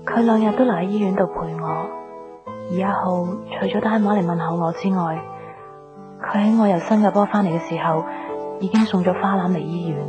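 A woman's voice: on the low side, steady tone, a little fast, natural voice. Muffled, wistful young actress as a Hong Kong woman. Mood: pensive. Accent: Hong Kong Cantonese. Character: wistful young woman recalling a lost love. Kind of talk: radio drama monologue over music. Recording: muffled radio recording with guitar music.

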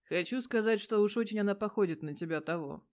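A woman's voice: medium pitch, fast talker, darkish tone, natural voice. Woman playing a teasing, self-assured male detective. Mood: matter-of-fact. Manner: teasing, insistent, slangy. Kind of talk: audio story character dialogue.

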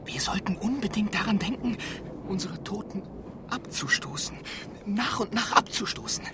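An actress playing an anxious, conspiratorial train passenger in a radio play, halting and repeating words. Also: female voice, medium pitch, in a whisper, natural voice. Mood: hushed, sly.

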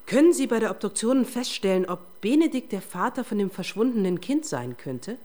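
A woman's voice: mid-range voice, very animated, fairly quick, dark tone. An actress playing a curt, exasperated female police detective who bosses her colleague in a German radio crime drama. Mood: businesslike. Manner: curt, bossy.

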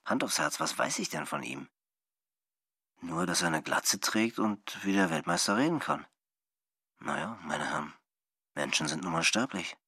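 An actor playing a wry, self-questioning poet who muses aloud to himself in a radio play, with a rough voice. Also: male voice, deep voice, very animated, normal speed, pauses often, sharp tone, no echo, studio quality, exaggerated voice. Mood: wry musing.

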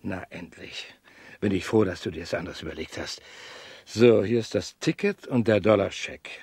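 An actor in a radio drama playing a shady, bossy real-estate broker with a pushy, colloquial, clipped way of talking. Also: male voice, low voice, normal speed, a little mellow. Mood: conspiratorial, bossy. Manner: colloquial, pushy wheeler-dealer talk with clipped phrases.

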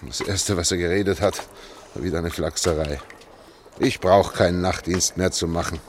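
A deep-voiced middle-aged man played by an actor, an anxious engineer guiding an escape in a radio play. He talks in hushed, halting, urgent phrases, with faint noise on the recording. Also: fairly even intonation, lightly acted. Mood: subdued, reminiscing. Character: anxious male engineer leading an escape through sewers. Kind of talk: German radio drama acting.

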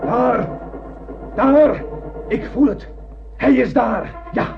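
A man's voice: very high-pitched, drawn-out words, creaky, heavily acted. An actor playing a blind, obsessed male starship captain in a radio drama, commanding and fanatical. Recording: dull radio recording with music underneath.

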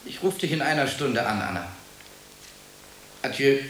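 A bitter, departing lover in a radio play. His medium-pitched voice moves widely and melodramatically in clipped phrases, with roomy echo and faint hiss. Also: sing-song, moderate pace, fairly bright tone. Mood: resigned, curt. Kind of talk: radio drama acting.

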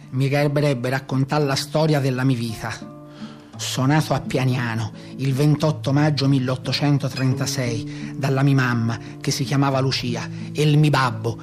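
A man's voice: medium pitch, close to an everyday voice. An actor playing a rustic bandit with a Tuscan accent, telling his hard life in a plain, folksy theatrical monologue. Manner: plain-spoken, folksy storytelling. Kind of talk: theatrical monologue in a radio play.

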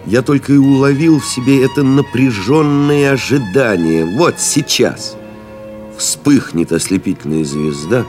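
An actor playing a weary, stoic pilot stranded in the desert, narrating gravely and deliberately in an audio play, with music behind him. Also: male voice, mid-range voice, darkish tone, close to an everyday voice. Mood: tense anticipation. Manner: grave, deliberate, brooding, with pauses. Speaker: actor narrating in first person.

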